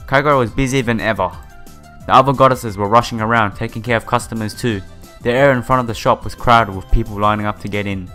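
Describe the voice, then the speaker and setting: Male let's player, mid-pitched, reads story text casually and adds sympathetic asides, music underneath. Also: steady tone, fast, bright, forceful tone. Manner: stumbles over read lines, sympathetic asides. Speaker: host of a game playthrough. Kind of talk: casual let's play, reading visual novel text aloud with asides. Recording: music behind the voice.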